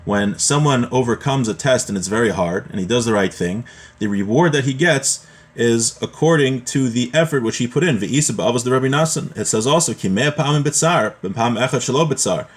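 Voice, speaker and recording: A man's voice: mid-range voice, animated, fairly quick. Man teaching an informal religious lesson. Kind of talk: informal religious lesson, reading Hebrew text then translating and explaining.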